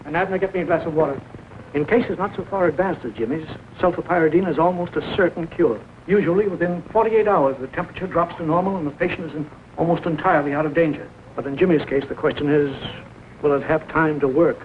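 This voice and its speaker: Small-town doctor, male actor, commanding and grave, measured orders, thin vintage soundtrack. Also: on the high side, natural voice. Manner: issues short, measured orders. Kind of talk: 1940s film acting. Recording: narrow tinny old film soundtrack, hiss.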